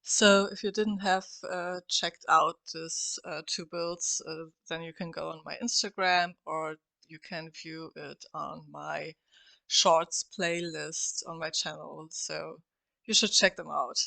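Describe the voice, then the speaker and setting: A woman's voice: low voice, steady tone, takes it slowly, vocal fry, sharp tone, dry recording. A woman with a cold and a scratchy voice, hosting a casual game-building voice-over, hesitant and full of fillers. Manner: hesitant, many fillers, chatty and upbeat. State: has a cold. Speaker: game builder and channel host.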